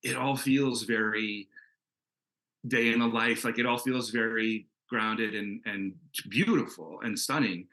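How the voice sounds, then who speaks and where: Bright-voiced man in casual panel chat. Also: on the low side, unhurried, low-quality recording. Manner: rambling, enthusiastic, peppered with "like" and "you know". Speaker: comic book creator answering questions in a panel. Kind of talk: casual, conversational panel discussion.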